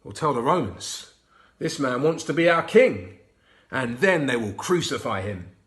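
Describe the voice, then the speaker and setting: A man's voice: mid-range voice, lively intonation, measured pace, fairly bright tone. A man voicing a group of angry leaders in a children's storybook, harsh and accusing. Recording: roomy echo.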